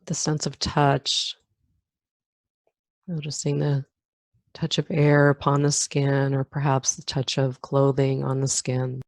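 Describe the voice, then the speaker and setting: Female meditation teacher, deep register, calm and slow with long pauses, dry sound. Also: dry recording. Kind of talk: guided meditation.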